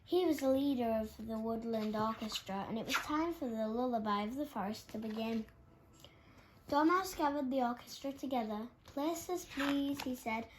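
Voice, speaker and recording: A woman reads a picture book aloud for children. Her voice is fairly high, and the recording has clear background noise. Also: moderate pace. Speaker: storybook reader. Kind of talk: children's story read aloud.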